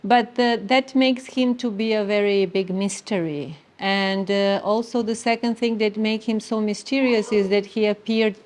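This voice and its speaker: A middle-aged woman from Serbia with a Serbian accent, telling her spiritual story in an earnest, halting way with many fillers. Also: medium pitch, fairly even intonation, a little mellow. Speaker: interviewee sharing spiritual story. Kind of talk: reflective personal testimony.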